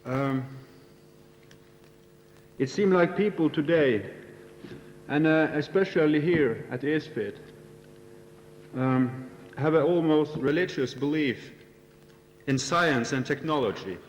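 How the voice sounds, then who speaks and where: A man, speaking from the audience to put a deliberate, measured question to a panel in a debate. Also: on the high side. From Norway. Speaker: audience member asking a question. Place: lecture hall at a student festival. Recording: reverberant hall with faint hum.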